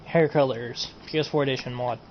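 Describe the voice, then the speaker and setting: A man's voice: medium pitch, steady tone, fairly quick, creaky. A man streaming a casual game mod showcase, rambling and repeating himself as he trails off. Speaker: gaming streamer. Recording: dull lo-fi home recording, faint noise.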